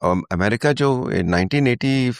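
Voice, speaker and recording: An older man from India speaks with an Indian accent as a podcast guest, switching between Hindi and English. He explains things conversationally, with anecdotes and asides. The sound is dry and close, with a middling pitch. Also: animated, unhurried, no room sound.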